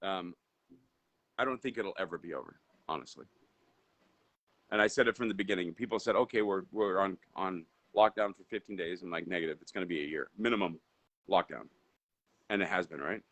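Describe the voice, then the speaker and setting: Rambling low-voiced man who grew up in Indonesia. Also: a little fast, long pauses, a bit bright. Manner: rambling, filler-heavy, restarts often. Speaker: guest entrepreneur. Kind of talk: casual live interview chat.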